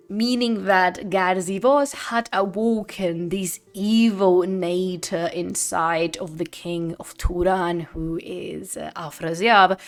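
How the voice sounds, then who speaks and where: A young woman whose first language is Spanish, hosting a casual livestream chat with lively laughs and sudden whispers. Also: fairly low pitch. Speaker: host.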